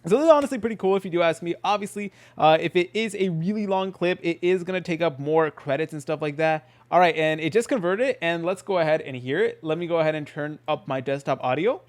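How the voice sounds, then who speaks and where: A man narrating a casual software tutorial as host, explaining each step in an informal, chatty way. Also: a little high, fast talker, few pauses.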